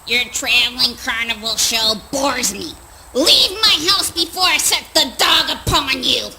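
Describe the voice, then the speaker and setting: An actress playing a sly, scornful old crone in a spoken word play, with a taunting, theatrical manner. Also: female voice, high voice, a little slow, very noisy. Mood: menacing, bored.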